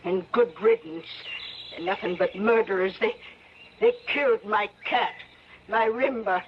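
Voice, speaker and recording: A woman's voice: mid-range voice, close to an everyday voice. Woman acting a feisty old backwoods granny, muffled radio. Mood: indignant. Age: older. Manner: folksy, halting rural talk. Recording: dull, noisy old radio recording.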